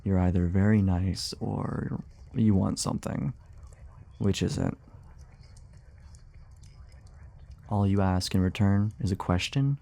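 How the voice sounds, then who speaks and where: A man's voice: sits low, a little slow, gravelly, mellow. A man playing a weary, grumbling monster hunter in an immersive fantasy audio roleplay, guarded yet sociable, with a hesitant, wryly sarcastic, muttering delivery. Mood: amused, wary.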